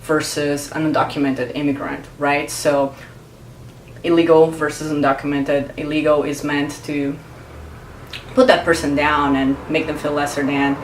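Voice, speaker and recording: A woman, a Portuguese speaker, explaining her views to camera in a thoughtful, earnest way and pausing to search for words. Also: deep voice, far from the mic, slight background noise. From Brazil. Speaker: vlogger. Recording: roomy echo, mic set back.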